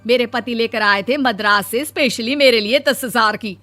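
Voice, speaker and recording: A woman's voice: a little high, normal speed, sharp tone, faint music behind. A woman playing a proud, blunt middle-aged Indian mother-in-law in a cartoon story, with a haughty, scolding way of talking. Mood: offended, scolding.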